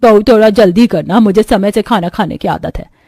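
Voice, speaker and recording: A woman voicing a boastful, motherly middle-aged mother-in-law in an animated family story. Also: mid-range voice, normal speed, voice pushed down, lightly acted. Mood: mildly impatient. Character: proud middle-aged mother-in-law, fond of her son's wife.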